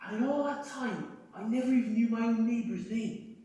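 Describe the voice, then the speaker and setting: Male actor as a grateful miner, higher voice, captured far off in an echoing space. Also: moderate pace. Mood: heartfelt. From Somerset. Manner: earnest, warm-hearted, frightened. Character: English newcomer miner Dan, gentle and grateful. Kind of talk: stage drama acting. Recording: echoey room from far off.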